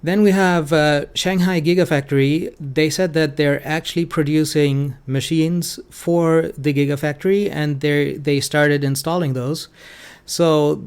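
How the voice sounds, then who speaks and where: A man giving informal, analytical financial commentary as a host, speaking in a halting way with frequent ums. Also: a little high, fairly even intonation, a little mellow, clear, resonant tone. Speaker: financial commentator hosting a stock analysis show.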